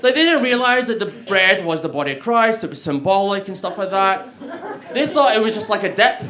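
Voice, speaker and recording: A man's voice: high-pitched, lively intonation, a little fast, crowd noise. A young man with a Glaswegian Scottish accent doing a stand-up comedy set on a club stage, chatty and self-mocking, often laughing at his own jokes. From Scotland.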